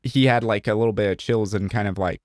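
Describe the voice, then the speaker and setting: A man's voice: lowish voice, fairly even intonation, fast, no echo. A young man from Southern Illinois, co-hosting a podcast in a casual, rambling way, full of fillers and jokes. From Southern Illinois, USA. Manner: rambling, heavy use of "like" and "um", joking. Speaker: podcast co-host. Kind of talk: casual chat.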